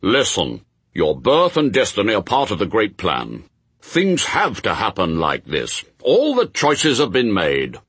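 A man's voice: on the low side, wildly swooping pitch, unhurried, a bit bright, slightly rough, no echo, exaggerated voice. A man voicing a pompous, officious heavenly spokesman in a story, formal, insistent and lecturing.

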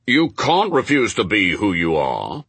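A pompous, officious spokesman of heaven from a storybook, played by a male reader. He lectures formally and insistently, with very animated intonation and a dry, close sound. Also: on the low side, measured pace, husky, dry recording, full character voice.

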